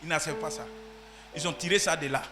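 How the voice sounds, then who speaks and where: A man preaching a sermon as pastor, swinging from shouted peaks to quiet asides. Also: on the high side, quick pace, soft background music.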